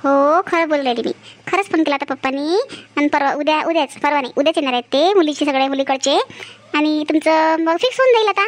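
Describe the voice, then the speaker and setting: Woman playing a gentle young cartoon sister-in-law, piercingly high, quick-talking, Vidarbha Marathi accent, earnest, family drama. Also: lightly acted. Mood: reassuring. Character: gentle young sister-in-law, teasing but easily wronged.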